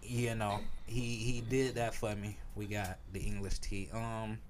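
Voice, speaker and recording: A man's voice: lowish voice, measured pace, slight background noise. A young man co-hosting a podcast, telling stories in loose, slangy casual chat. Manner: animated storytelling, slangy. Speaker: podcast host.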